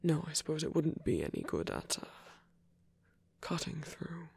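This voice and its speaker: Man acting a whispering, breathy, gentle old vampire priest. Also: fairly high pitch, sing-song, no echo, heavily acted. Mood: awkward, reassuring. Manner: halting, bashful, softly reassuring. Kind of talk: ASMR roleplay, intimate whispering to listener.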